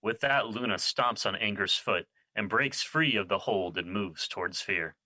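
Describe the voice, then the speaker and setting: A man's voice: fairly low pitch, normal speed, pushed and bright, no room sound. A man playing the narrator of an audio drama, reading scripted stage directions. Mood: neutral narration.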